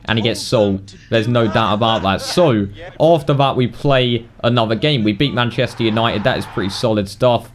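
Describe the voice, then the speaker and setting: A man's voice: mid-range voice, normal speed. A British man giving casual commentary as the host of a gaming video. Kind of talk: casual game highlights commentary.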